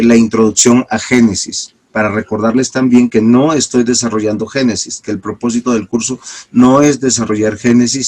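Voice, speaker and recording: A man teaching an online Bible class as a pastor, a little congested from a cold, who speaks deliberately with restarts and stretched-out words. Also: medium pitch. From Peru. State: slight cold with a cough.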